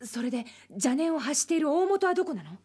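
A high, eager young woman. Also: sing-song, fast, heavy background noise. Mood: inquisitive. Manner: inquisitive, eager. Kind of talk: radio drama acting. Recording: studio radio drama with faint music.

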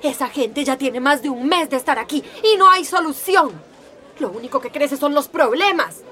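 Radio drama: actress as indignant, self-righteous townswoman, high voice, haughty and combative. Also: lively intonation, moderate pace, pushed and bright, some chatter behind. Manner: haughty, argumentative, exclamatory. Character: scornful, indignant townswoman campaigning against migrants. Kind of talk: Spanish-language radio drama acting.

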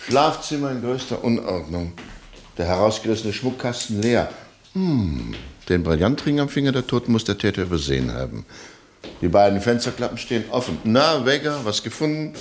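An actor playing a brisk, methodical police inspector in a 1960s German radio play. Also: male voice, fairly low pitch, very animated, echoey room, a little hiss or hum. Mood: businesslike.

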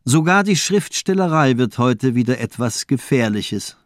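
A cold, superior villain doctor of radio crime drama, acted by a man. He speaks with calm, mocking politeness and very mobile intonation in a dry studio sound. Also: mid-range voice, measured pace, no room sound, natural voice.

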